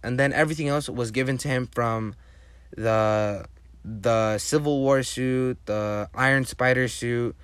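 A man's voice: mid-range voice, steady tone, unhurried, fairly bright tone, clean tone, no room sound. A man whose first language is Spanish, hosting a casual solo podcast and rambling with enthusiasm through many restarts. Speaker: podcast host.

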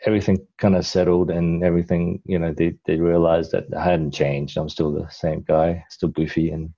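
A man's voice: low-pitched, little pitch movement, moderate pace, warm tone, lo-fi sound. A middle-aged man from Malaysia, a guest in a casual interview, telling his story in a rambling way with lots of fillers and restarts.

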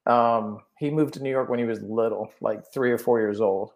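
Man, raised in England, podcast guest, rambling, repeats words, mid pitch. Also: fairly even intonation, moderate pace, a little mellow. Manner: rambling, repeats words when starting sentences. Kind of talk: casual chat.

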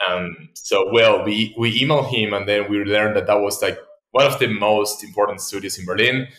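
A man's voice: on the low side, moderate pace, a bit bright. This is a man, a Spanish speaker. He talks casually, like a guest telling a story in an interview. The sound is noticeably echoey, as if recorded in a bare room. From Argentina. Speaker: podcast guest, tech entrepreneur.

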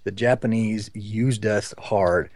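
A man's voice: lowish voice, takes it slowly, a little mellow, no room sound. A man co-hosting a talk show, chatting casually and knowledgeably about books with emphatic corrections and asides. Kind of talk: casual book discussion.

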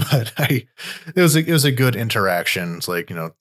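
A man's voice: medium pitch, very animated, brisk. A man hosting a podcast, talking through war news in a loose, conversational way and often restarting his sentences. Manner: loose, thinking aloud with frequent restarts. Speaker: podcast host and war reporter.

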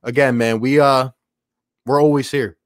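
A man hosts a live sports chat in a dry, close-sounding recording. His voice sits at an ordinary male pitch. He talks in slangy, exclamatory bursts, reading viewer messages and addressing everyone casually. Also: fairly even intonation, normal speed, no room sound. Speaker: livestream host.

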